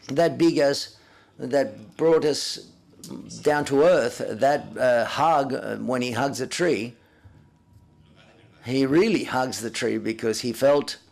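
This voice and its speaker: A man interpreting live at a press conference, speaking haltingly and often correcting himself as he renders others' words in first person. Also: mid-range voice, gravelly, a little mellow.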